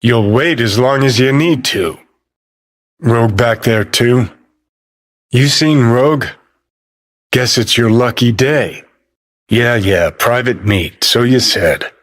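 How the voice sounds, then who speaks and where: A man's voice: medium pitch, slow, pauses often, fairly bright tone. A male actor playing a cynical, hot-tempered rebel rocker in a cyberpunk video game, with a terse, sardonic, swaggering delivery. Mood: gruff, dismissive.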